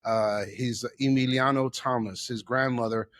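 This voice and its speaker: A man's voice: mid-range voice, steady tone, a little slow, a bit bright. A middle-aged man from the Bronx, New York, telling personal stories as an interview guest, emphatically repeating phrases for effect. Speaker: interview guest, chef and theater owner.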